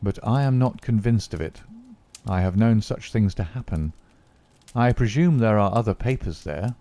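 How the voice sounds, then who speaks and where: Cool confident detective, understated, dry delivery, mellow voice, read by a man. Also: lowish voice, lively intonation, moderate pace, dry recording, natural voice. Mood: calm.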